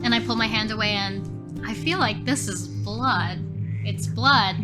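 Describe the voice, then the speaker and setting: A woman's voice: mid-range voice, measured pace. A woman improvising horror story narration as a player in a tabletop game, thinking aloud expressively. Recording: music behind the voice.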